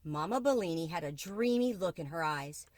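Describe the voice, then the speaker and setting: Woman, storyteller, children's book narration, lowish pitch, dry close sound. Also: animated, moderate pace, a little mellow, dry recording. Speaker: read-aloud storyteller.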